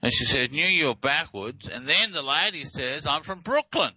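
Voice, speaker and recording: A man's voice: fairly high pitch, lively intonation, normal speed, bright, forceful tone, breathy edge, muffled recording. A man presenting and explaining recorded clips in a casual, hesitant way, with many fillers and restarts. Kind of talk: casual explanatory talk over played clips.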